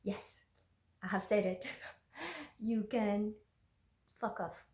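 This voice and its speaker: A woman whose first language is French speaks slowly and hesitantly, with many ums and uhs. She talks into her phone in a roomy space, and her voice carries an echo. She sounds like someone sharing a personal vlog. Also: medium pitch, level tone, warm tone. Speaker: intuitive energy worker. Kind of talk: personal vlog and reading her journal aloud.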